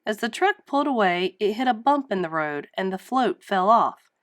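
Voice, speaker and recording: A woman reading a children's story aloud in an animated narrating style. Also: mid-range voice, normal speed, no echo, clean studio sound. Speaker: storybook reader.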